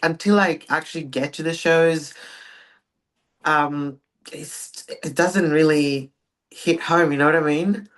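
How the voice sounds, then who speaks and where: A young woman from Greece, a pro bodybuilder speaking relaxed and soft-spoken as a podcast guest. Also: low-pitched, slow pace. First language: Greek. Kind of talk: casual interview.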